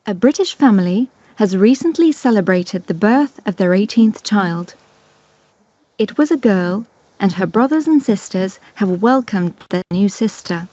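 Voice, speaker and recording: Slow scripted woman exam announcer. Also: mid-range voice, dry recording. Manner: slow, clear, even reading. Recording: dull dry lo-fi playback.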